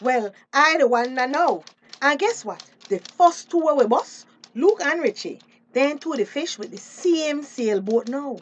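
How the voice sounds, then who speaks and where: A woman's voice: high-pitched, expressive, a little slow. A woman acting an outspoken, street-smart fisheries officer in a radio drama, telling things expressively in a Belizean Creole accent. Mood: animated, confiding.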